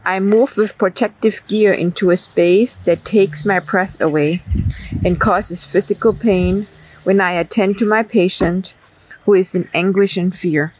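A woman poet reciting her own work in a measured way, pausing between short lines. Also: mid-range voice, steady tone, a little mellow, slightly rough, poor audio. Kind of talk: poetry recitation.

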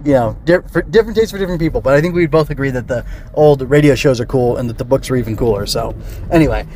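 Middle aged guy rambling on his podcast, relaxed soft tone, bit of noise behind him. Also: mid-range voice, fast. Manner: rambling, chuckling, digressive. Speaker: podcast host. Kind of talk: casual solo review chat.

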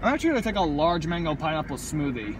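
A man's voice: a little high, big pitch swings, fast talker. A young man chatting and teasing his passenger while he drives, joking and mock-exasperated. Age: young adult. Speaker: vlogger driving. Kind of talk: casual banter in a car. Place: inside a car on the road. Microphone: dashboard phone camera. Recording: loud road noise in a car. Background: car engine and road noise.

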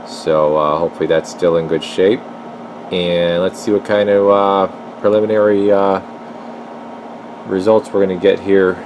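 A man's voice: low voice, moderate pace. A man giving casual hands-on repair commentary as a technician and video host, thinking aloud while he works. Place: indoor electronics workshop bench. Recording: noisy workshop with steady background hum.

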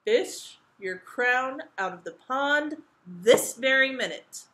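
A woman voicing a loud, commanding, exasperated king and father in a children's storybook. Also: a little high, a little slow. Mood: furious. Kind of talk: children's storybook read-aloud.

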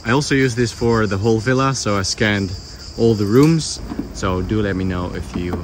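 Young male vlogger, fairly low voice, informal explanatory delivery, handheld phone with faint background insect noise. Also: moderate pace. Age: young adult. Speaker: vlogger renovating a villa. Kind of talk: casual vlog narration to camera. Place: outdoors in an overgrown garden, some indoors. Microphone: handheld phone at arm's length.

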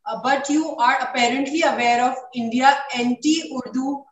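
A woman interviewing a guest over a video call, asking formal, measured questions. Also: high voice, steady tone. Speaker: interviewer, research associate. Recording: echoey, distant video-call audio.

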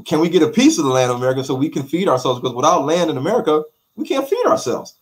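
A man's voice: a little high, sing-song, very fast. A middle-aged man as an interview guest on a video call, talking emphatically with repeated words and rhetorical questions. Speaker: documentary maker, guest. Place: bright room with light walls.

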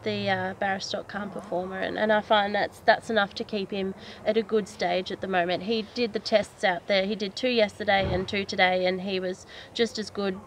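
A woman's voice: medium pitch, little pitch movement, moderate pace, hardly any pauses. A woman in her twenties with an Australian accent, chatting casually in an easygoing, unscripted way as a horse rider being interviewed. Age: 20s. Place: outdoors at wooded pony club grounds. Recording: outdoor ambience with background noise.

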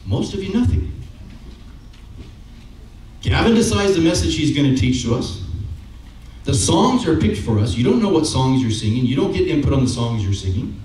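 A man's voice: a little high, sing-song, normal speed, long pauses, darkish tone. Middle-aged male preacher, chatty and conversational, handheld mic, recorded from across a very echoey sanctuary. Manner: conversational, keeps asking "okay?" and "right?"; walks around the stage with a handheld mic. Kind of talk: sermon. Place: church sanctuary with brick wall. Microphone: handheld microphone, far camera. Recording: very echoey room, from far off, faint noise.

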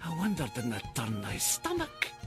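A man reciting a narrative poem theatrically, swinging from loud outbursts to hushed whispers. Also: medium pitch, wildly swooping pitch, moderate pace, faint music behind. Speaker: poetry reader. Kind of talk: dramatic poetry recitation.

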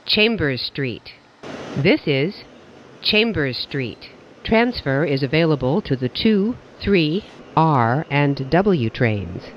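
A train announcer reading scripted transit announcements in a clipped, measured, formal way. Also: medium pitch. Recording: dull lo-fi audio with clear background noise.

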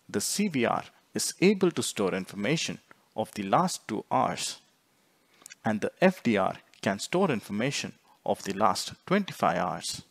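A man reading scripted instructional narration in a measured, explanatory way, phrase by phrase. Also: fairly low pitch, gravelly, husky, no room sound. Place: close, dry voice-over recording.

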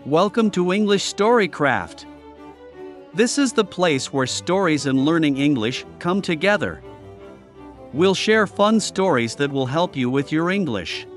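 A man's voice: a little high, animated, unhurried. A man hosting a learning channel, delivering a scripted intro and outro in an upbeat, welcoming manner. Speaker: channel host. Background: faint guitar music.

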